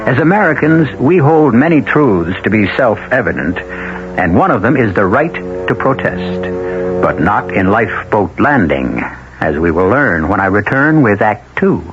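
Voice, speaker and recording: Music plays clearly behind a low-voiced man on a dull vintage radio recording. He narrates as the drama's host, deliberate and oratorical, with broad rises and falls. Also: big pitch swings.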